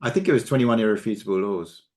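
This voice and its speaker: A middle-aged or older man, answering casually as an interview guest, pausing and correcting himself. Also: lowish voice, a little mellow. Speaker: leadership coach, podcast guest.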